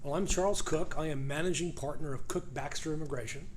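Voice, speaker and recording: A middle-aged man from New York with a New York accent, talking as a lawyer guest in a casual interview in a conference room, quick, with lots of "uh" fillers. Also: on the high side, slight background noise.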